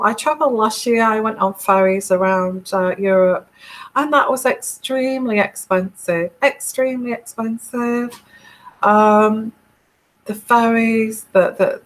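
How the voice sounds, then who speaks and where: A woman's voice: mid-range voice, fairly bright tone, poor audio. A middle-aged English woman chatting casually with viewers on a live tarot stream, rambling with many fillers and restarts and laughing often. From England.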